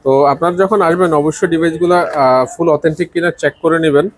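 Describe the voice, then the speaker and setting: High-pitched Bangladeshi man, joking sales chat. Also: fairly quick. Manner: joking, teasing. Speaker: presenter of a phone shop promo video. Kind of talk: casual bantering sales chat.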